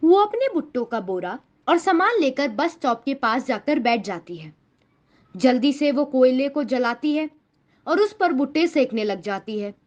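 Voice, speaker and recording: Casting: female narrator for children's moral stories, high-pitched voice, scripted storytelling, slightly dull lo-fi sound. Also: big pitch swings, a little slow, darkish tone.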